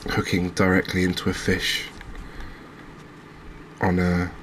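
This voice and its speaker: A man giving quiet, unscripted ASMR-style game commentary, unhurried and thinking aloud with pauses. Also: low voice, monotone, a little hiss or hum. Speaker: gaming video host.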